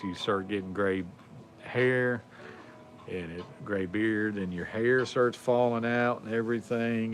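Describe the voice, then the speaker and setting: A man in his forties with a drawl talks in a low, easygoing voice. He rambles on a livestream, full of fillers and loose asides. Faint music plays underneath. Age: 40s. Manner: rambling, laid-back, drawling with many fillers. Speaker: livestream host. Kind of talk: casual chat.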